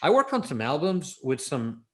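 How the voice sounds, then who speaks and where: A man's voice: a little high, sing-song, moderate pace, fairly bright tone. A man from Los Angeles with an American accent, talking casually and informally as an interview guest, in a storytelling way. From Los Angeles, United States.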